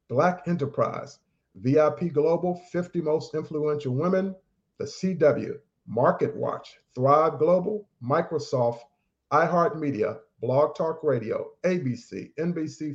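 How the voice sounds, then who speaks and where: Upbeat, formal male podcast host, fairly high voice, muffled lo-fi recording. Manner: upbeat, formal, full of praise. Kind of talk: podcast interview hosting. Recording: dull, lo-fi sound.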